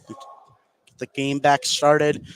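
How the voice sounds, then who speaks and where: A man's voice: fairly high pitch, completely flat delivery, extremely slow, a bit bright. A man doing live play-by-play commentary of a basketball game for radio. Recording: clear background gym noise. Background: gym ambience of a live game.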